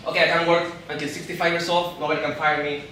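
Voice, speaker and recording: A man's voice: on the high side, level tone, sharp tone, recorded from a distance, a little hiss or hum. Peruvian man talking on stage in an echoey hall. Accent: Peruvian Spanish. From Peru. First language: Spanish. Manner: halting, repeats and restarts words, laughs along with the crowd. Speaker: event speaker. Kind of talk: live stage talk to an audience. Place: event hall.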